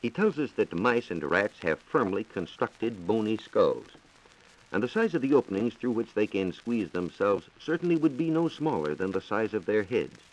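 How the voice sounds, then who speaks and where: Deep-voiced American man, 1940s radio science reporter. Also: very animated, rough recording. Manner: measured, explanatory, formal. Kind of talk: 1940s radio science talk, answering questions. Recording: 1940s radio transcription disc.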